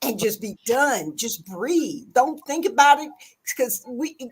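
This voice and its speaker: A woman with a high voice hosts a casual live stream chat. She talks in a gentle, coaxing way and calls the listener sweetheart and baby. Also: animated, slow pace, slight background noise, low-quality recording. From a big city.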